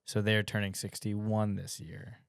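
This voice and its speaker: A man's voice: fairly low pitch, level tone, a little slow, gravelly, no room sound, studio quality. A man in his thirties chatting casually on a podcast in a laid-back, joking way. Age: 30s. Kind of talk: casual chat.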